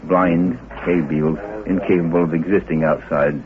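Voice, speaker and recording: Very deep-voiced older man, halting. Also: level tone. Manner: halting, searching, learned wording. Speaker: interviewed scientist, zoologist. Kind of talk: informal recorded interview. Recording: narrow-band field tape, background chatter.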